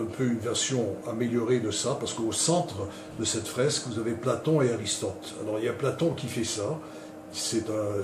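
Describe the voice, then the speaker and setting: An older man from Canada introducing himself in unscripted talk, hesitating often with fillers and restarts. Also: medium pitch. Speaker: interviewee. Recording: roomy and distant with clear noise.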